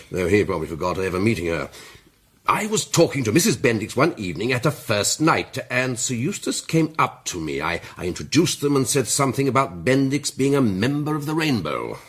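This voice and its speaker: Gruff, husky older gentleman. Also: mid-range voice, very animated, normal speed. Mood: disapproving. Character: gruff, upper-class titled gentleman, father of grown daughter. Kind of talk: period detective radio drama.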